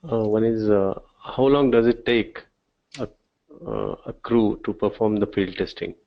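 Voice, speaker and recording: Male webinar questioner, gravelly fry in the voice, muffled lo-fi audio, question-and-answer style. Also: lowish voice, fairly even intonation, measured pace.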